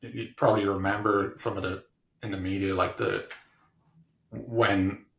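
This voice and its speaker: Low gravelly man in a roomy space. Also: little pitch movement. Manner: halting, hesitant, thinking aloud in fragments. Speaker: technology executive being interviewed. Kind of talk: casual explanatory interview talk. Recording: roomy, echoing room sound.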